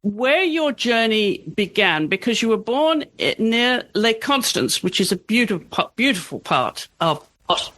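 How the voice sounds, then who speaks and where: A woman's voice: fairly high pitch, sing-song, measured pace, hardly any pauses, no room sound, rough recording. Chatty woman host, dry sound. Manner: warm-up chatter, rambling, self-correcting. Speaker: podcast host. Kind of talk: interview hosting.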